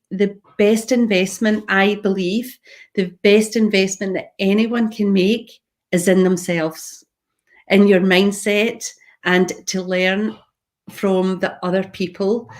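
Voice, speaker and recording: A Scottish woman from Glasgow, middle-aged, speaking to an online audience in a relaxed, conversational way. Her voice sits at a middle pitch. She wanders off on side stories and keeps pulling listeners in with direct questions. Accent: Glaswegian Scottish. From Scotland, Glasgow. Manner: chatty, goes on tangents, addresses viewers directly. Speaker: public speaker. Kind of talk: live-streamed personal talk to viewers.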